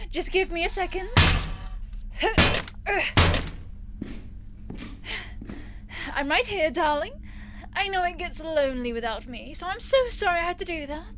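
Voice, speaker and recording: A woman's voice: sits high, noisy background. A woman playing a clingy, possessive rich young woman in an audio roleplay, theatrical and cooing with sweet menace. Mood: doting, hurried. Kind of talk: yandere ASMR audio roleplay.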